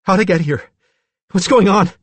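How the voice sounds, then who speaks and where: Audiobook role: scared, bewildered young man played by a male narrator, shift+ pitch, pleading and simple-spoken. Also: moderate pace, no room sound, close to an everyday voice. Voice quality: ungrammatical, pleading speech. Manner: anxious, bewildered, exclaiming. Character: frightened, dazed, childlike troubled young man.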